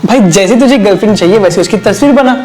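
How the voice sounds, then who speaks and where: A man playing a scheming, excitable young friend in a comedy skit, chiding and pushing his pal along. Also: high voice, fast, music in the background. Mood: urging. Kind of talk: exaggerated comedy skit dialogue.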